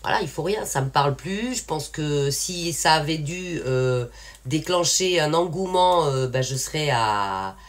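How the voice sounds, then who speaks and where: A woman's voice: deep voice, expressive, a little slow. A woman from France talking casually and frankly to her viewers, rambling with many fillers and restarts. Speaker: independent singer and channel owner. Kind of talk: casual spoken update to viewers.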